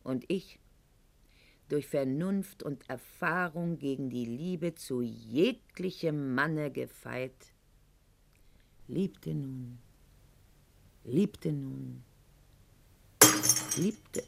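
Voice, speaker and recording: An actress playing a proud, wounded middle-aged aristocratic lady in a radio play monologue, articulate and ironic, with weighted pauses. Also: extremely low pitch, vocal fry, exaggerated voice. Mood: wounded, confessional.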